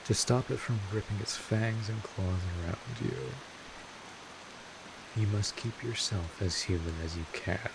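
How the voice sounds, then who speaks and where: Casting: male actor as gruff old vampire mentor for audio roleplay, deep voice, deliberate and wry, steady rainy hiss behind. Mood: grave, instructive. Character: gruff, world-weary male vampire mentor, 150 years old, secretly kind. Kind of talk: scripted audio roleplay monologue. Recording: steady hiss, rain-like noise.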